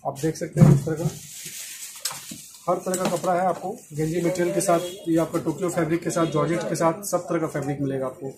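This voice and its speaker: A man's voice: fairly high pitch, fairly even intonation, fairly quick, crowd noise. A man in his thirties presenting clothing stock on camera in salesman's patter, mixing in English words. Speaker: garment wholesaler presenting stock. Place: small indoor clothing shop. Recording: clearly noisy shop audio with clicks.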